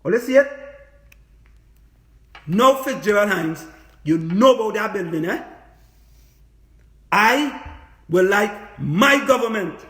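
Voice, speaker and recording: Casting: Trinidadian man, strikingly high-pitched, informal activist livestreamer, insistent and repetitive delivery, roomy echo. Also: lively intonation, slow, pauses often, pushed and bright. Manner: emphatic, repetitive, rhetorical questioning. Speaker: livestream host, political activist; business lecturer. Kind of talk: impassioned informal political livestream monologue. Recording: echoey room, livestream.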